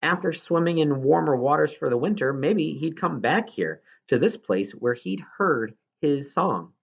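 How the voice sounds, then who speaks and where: A man's voice: on the high side, lively intonation, a little slow, gravelly, husky, muffled recording. A man reading a children's novel aloud as scripted narration, now and then stumbling over a word. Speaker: audiobook reader.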